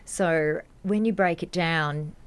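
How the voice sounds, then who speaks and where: A woman's voice: deep voice, takes it slowly, clear, resonant tone. An Australian woman, a teacher, explaining earnestly in a radio interview with emphatic repetition. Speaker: drama teacher, interview guest. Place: radio studio.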